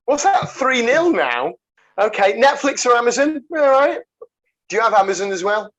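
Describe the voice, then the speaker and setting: A man hosting a casual podcast over a video call, jokey and quick to laugh, calling his guest mate. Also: extremely high pitch, sing-song, fairly bright tone, lo-fi sound. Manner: jokey, laughs often, calls people mate. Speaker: podcast host.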